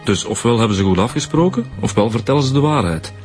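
An actor with a Flemish accent playing a wry, informal police detective in a radio drama, colloquial and questioning. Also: male voice, medium pitch, lively intonation, quick pace, darkish tone, soft background music, natural voice.

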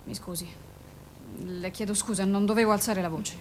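An actress playing a careful, patient doctor in a radio drama, measured and reassuring, sometimes hesitant and apologetic. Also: female voice, steady tone, natural voice. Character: careful, patient female doctor questioning a mother. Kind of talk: naturalistic radio drama acting. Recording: noisy recording with low rumble.

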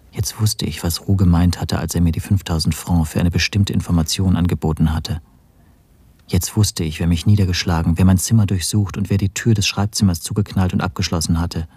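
An actor playing a weary, shaken man who narrates a German radio spy thriller in a measured, hushed, brooding manner. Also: low voice, fairly even intonation, breathy edge, no room sound. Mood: bitter realisation. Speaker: first-person narrator and protagonist.

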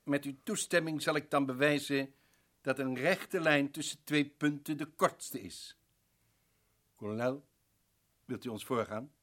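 A man's voice: mid-range voice, measured pace, long pauses, a bit bright, close to an everyday voice. A man playing a shrewd, insistent professor. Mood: probing. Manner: insistent, probing questions. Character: shrewd determined male professor detective. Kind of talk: radio drama acting.

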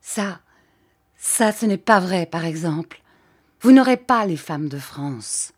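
A woman's voice: on the low side, animated, measured pace, a little mellow. A woman doing a fiery, defiant young woman. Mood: angry. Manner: vehement, angry.

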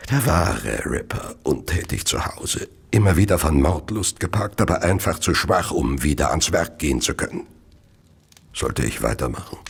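An actor playing a tormented murderer, narrating his deeds in a brooding, measured, gravelly voice for a radio play. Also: exaggerated voice. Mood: brooding, obsessive. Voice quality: very deep, gravelly. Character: tormented, murderous male serial killer confessing his deeds. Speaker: narrator-character in an audio drama. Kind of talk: dramatic first-person radio play narration.

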